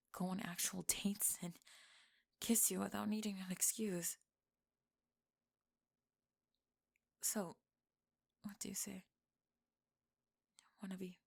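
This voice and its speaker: A woman playing a young tomboy friend in an intimate ASMR roleplay, halting and flustered, teasing gruffly and often slipping into whispers. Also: medium pitch, darkish tone. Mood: shy, nervous.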